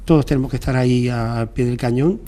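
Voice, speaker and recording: A man's voice: medium pitch, steady tone, fairly quick, warm tone. A man with an accent from Spain arguing off the cuff as a debate guest, repeating his points for emphasis. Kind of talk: spontaneous panel debate.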